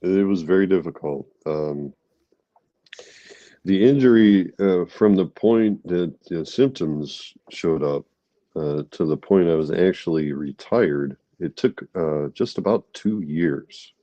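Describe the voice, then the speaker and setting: Brief: male guest, middle-aged, stuffy from a cold, deep and mellow, hesitant with many ums, flat dry muffled sound. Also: no room sound. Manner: halting, many fillers and restarts. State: congested from a cold. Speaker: guest, army veteran. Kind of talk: candid interview talk.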